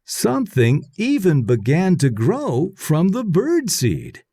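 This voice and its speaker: A man reading a children's story aloud in short, deliberate, clearly separated phrases. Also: fairly high pitch, huge pitch swings, dark tone, no room sound. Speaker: read-aloud narrator.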